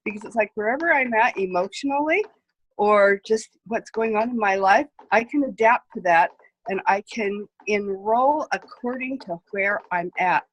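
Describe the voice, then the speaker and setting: Woman in a workshop sharing about herself, fast, mid pitch. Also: low-quality recording. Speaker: workshop participant.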